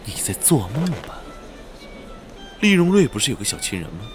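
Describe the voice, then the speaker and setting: A man's voice: mid-range voice, big pitch swings, moderate pace, faint music behind, close to an everyday voice. A man acting a haughty, hot-tempered, protective rich young man in a radio drama, scornful and sarcastic. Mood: hostile, threatening.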